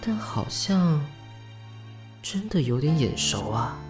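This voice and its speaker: A man's voice: fairly high pitch, slow. An actor playing a polite, flustered, sentimental young man in a Taiwanese Mandarin radio drama, with sighs and hesitant interjections. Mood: musing, polite. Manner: expressive, sighing, hesitant interjections. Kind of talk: Mandarin radio drama acting with inner monologue. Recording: studio voice over background music. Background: background music.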